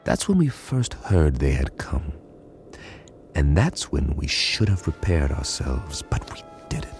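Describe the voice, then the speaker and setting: An actor playing a weary, brooding linguist who narrates a radio drama deliberately, sometimes sinking to a hush. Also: male voice, rumbling low, very animated, full character voice. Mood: grave, regretful. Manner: brooding, deliberate, dropping into hushed whispers. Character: weary, brooding male linguist recounting a nightmare. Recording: faint music under the voice.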